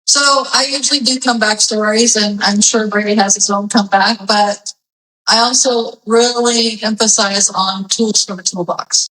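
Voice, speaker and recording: A woman hosts a casual interview show, asking questions in a chatty, playful way that sometimes wanders before finding the point. Her voice is of ordinary pitch. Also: fairly even intonation, normal speed, pushed and bright, recorded from a distance, rough recording. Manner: chatty, playful, a bit rambling. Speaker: podcast host.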